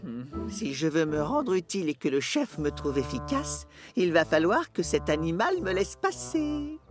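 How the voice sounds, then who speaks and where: An actor voicing an eager, easily frustrated young male steam engine in a children's cartoon, expressive and exclamatory. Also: mid-range voice, normal speed, over loud music, close to an everyday voice. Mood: determined. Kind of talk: animated children's series dubbing.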